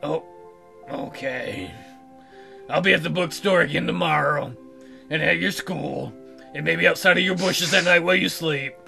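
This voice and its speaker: A man's voice: fairly high pitch, normal speed, creaky, pauses often, sharp tone. A man reading visual novel lines aloud as a let's player, dropping joking, teasing asides. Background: game music.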